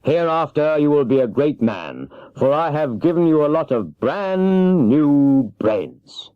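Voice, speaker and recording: Guy doing a little humbug wizard, polite n chatty, pitched up, muffled old tape. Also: sing-song, takes it slowly, no room sound. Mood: brisk, polite. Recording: dull, lo-fi cassette transfer.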